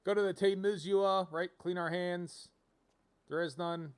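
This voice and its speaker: A man's voice: high voice, fairly even intonation, unhurried, clean tone. A man casually commentating on a video game as he streams, rambling and thinking aloud as he reads out options.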